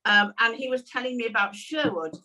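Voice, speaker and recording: A woman's voice: on the high side, a little fast, bright, forceful tone. An older woman with an English accent, chatting as a panel host on a video call. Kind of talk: casual conversational interview. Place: home room, indoors, on a video call. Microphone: video call device at a distance. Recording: distant video-call microphone.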